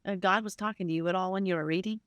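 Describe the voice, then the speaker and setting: A woman's voice: on the low side, fairly even intonation, rapid-fire, fairly bright tone, no echo. A woman hosting a casual conversational interview in a chatty, encouraging way. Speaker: interview show host.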